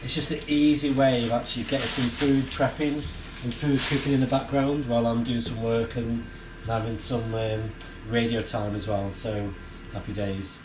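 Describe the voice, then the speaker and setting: A man's voice: medium pitch, level tone, moderate pace. Male vlogger, casual and rambling, mid-range voice, noisy barn recording. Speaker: amateur radio vlogger. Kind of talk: casual explanatory vlog chat. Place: inside a barn. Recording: clear background noise in barn.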